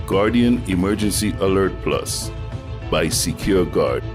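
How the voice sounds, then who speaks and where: A man's voice: low-pitched, animated, measured pace. A man reading short scripted slogans as a commercial voice-over. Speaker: advertisement voice-over. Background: background music.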